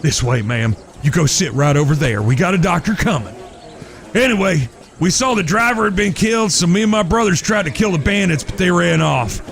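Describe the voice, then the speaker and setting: A man playing a gravelly, commanding cowboy gang leader. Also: high voice, expressive, fairly quick, a bit bright, full character voice. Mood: steady, reassuring. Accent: American, Southern or Western drawl. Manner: authoritative, folksy, plain-spoken. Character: gruff, protective eldest brother leading Old West gunslingers. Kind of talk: Western audio drama acting.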